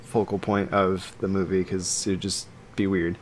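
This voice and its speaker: A man's voice: low voice, little pitch movement, measured pace. Casual male podcast co-host, noisy background. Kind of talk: casual chat. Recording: noticeable background noise.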